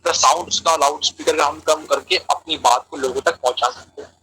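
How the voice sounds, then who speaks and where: A man's voice: fairly high pitch, fairly even intonation, brisk, bright, forceful tone, a little hiss or hum, low-quality recording. A man giving a religious lecture on an online call, explaining terms and repeating phrases for emphasis. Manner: explanatory, translates key terms as he goes. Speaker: religious speaker answering questions.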